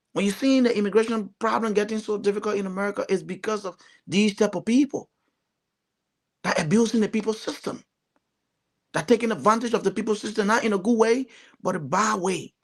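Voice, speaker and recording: A man's voice: high-pitched, fairly quick, pauses often. A man from Liberia with a Liberian accent, giving earnest, emphatic political commentary as a talk show panelist, often repeating phrases.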